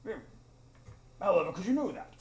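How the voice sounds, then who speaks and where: A man playing a flustered, incredulous older English gentleman doctor in a live radio drama, blustering and stammering. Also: high voice, expressive, fairly quick, echoey room. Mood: indignant.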